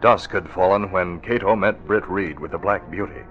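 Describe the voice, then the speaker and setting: A male announcer giving measured, formal scripted narration for a radio drama. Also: deep voice. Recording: dull old radio recording with music.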